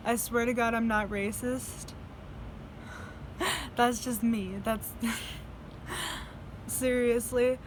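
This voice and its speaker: Young woman vlogger, fairly high voice, slow and rambling, profane, laughs often; faint vehicle noise behind. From United States. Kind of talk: casual video diary storytelling.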